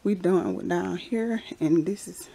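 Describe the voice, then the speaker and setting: A woman's voice: lowish voice, measured pace, creaky, a little hiss or hum. A woman, chatting casually as she walks a handheld camera through her house, sometimes dropping into a whisper. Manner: chatty, relaxed, drops into whispers. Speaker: vlogger giving a house tour. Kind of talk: casual walkthrough narration. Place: basement and hallways of a house, indoors. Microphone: handheld camera held while walking.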